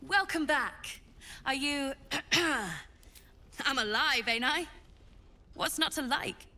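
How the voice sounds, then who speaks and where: Excitable high-pitched cheeky child. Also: female voice, slow pace, full character voice. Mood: eager sales pitch. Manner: excitable, bragging, salesperson patter. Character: eager cheeky tiefling child running a trinket shop. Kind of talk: video game dialogue.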